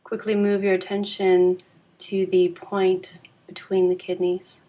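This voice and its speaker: A woman guides a deep relaxation practice in a fairly low voice. Her delivery is very even, with little rise or fall. She speaks calmly and soothingly, leaving unhurried pauses between phrases. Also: lo-fi sound. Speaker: yoga nidra guide.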